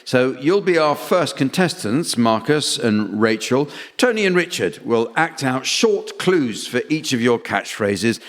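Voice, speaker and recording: A man chairing a comedy panel game, delivering scripted comic hosting on stage. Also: medium pitch. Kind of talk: scripted comic hosting before a theatre audience. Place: theatre stage with audience. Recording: live theatre reverb, audience sound.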